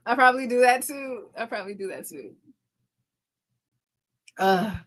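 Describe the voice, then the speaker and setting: A woman hosting a casual livestream, reading out comments and chatting in a quick, stumbling way, often repeating her phrases. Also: on the high side, animated, a bit bright. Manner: talks fast, stumbling and repeating herself. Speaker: livestream host and content creator. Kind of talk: casual live chat reading viewer comments aloud.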